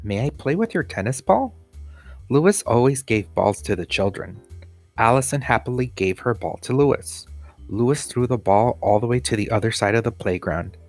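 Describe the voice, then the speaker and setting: A man's voice: fairly low pitch, moderate pace, dry recording. A man reading a children's story aloud as a teacher would, with music behind him.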